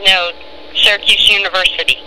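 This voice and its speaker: Female restaurant employee on a noisy phone line: very bright, forceful tone, short polite patient answers. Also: mid-range voice, fairly even intonation, brisk. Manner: brief, matter-of-fact, patient replies. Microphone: telephone. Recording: phone line with background noise.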